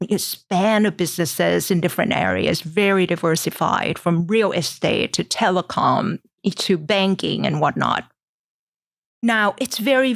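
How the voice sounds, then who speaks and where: A middle-aged woman from Thailand, explaining things in measured podcast answers with frequent ums. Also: fairly low pitch, creaky, no room sound, clean studio sound. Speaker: guest investor.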